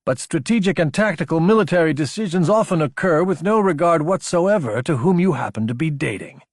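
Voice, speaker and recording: A man voicing a stern, unflappable older female military commander in an audiobook, dry, deliberate and matter-of-fact. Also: on the high side, lively intonation, no echo, pitched above the performer's own voice.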